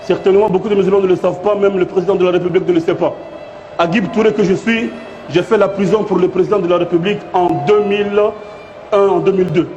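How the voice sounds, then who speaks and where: A man testifies emphatically in a high voice. The recording is dull and lo-fi, with faint music underneath. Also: fairly even intonation, quick pace, a little mellow. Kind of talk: impassioned personal testimony.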